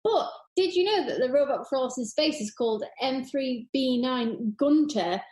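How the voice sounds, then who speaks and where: High-voiced, enthusiastic woman in an echoey room. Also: expressive, normal speed, a little mellow. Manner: rambling, enthusiastic, gushing. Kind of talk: casual chat. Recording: roomy, echoey sound.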